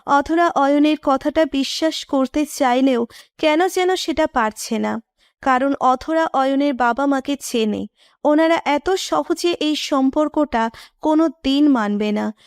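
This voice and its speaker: A woman narrates a scripted romantic tale in a high voice. The sound is dry and close, with very little room around it. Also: measured pace, no room sound. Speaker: story narrator.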